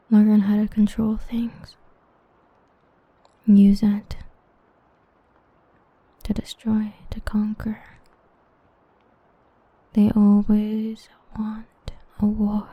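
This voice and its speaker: A young woman voicing an obsessive, protective half-demon villain in a hushed, intimate ASMR roleplay whisper. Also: medium pitch, slightly rough, no echo, dull audio, full character voice. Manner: hushed, intimate, slow and brooding.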